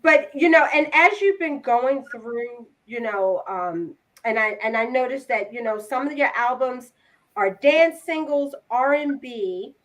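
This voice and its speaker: A woman's voice: a little high, a little slow, fairly bright tone, poor audio. A woman hosting a podcast interview, chatty and affectionate, often restarting her sentences. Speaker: podcast host. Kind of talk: casual interview chat.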